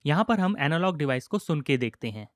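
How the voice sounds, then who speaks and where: A man giving a measured, explanatory music software tutorial, mixing English technical terms into his speech over a close microphone. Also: on the high side, sing-song. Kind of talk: scripted-sounding software tutorial narration. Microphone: close studio microphone, voice-over.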